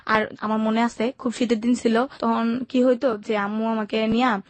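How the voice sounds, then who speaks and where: A woman's voice: on the high side, level tone, normal speed, no room sound. A young woman with a Bangladeshi Bengali accent, telling her personal life story in colloquial, run-on sentences as an interview guest. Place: radio studio.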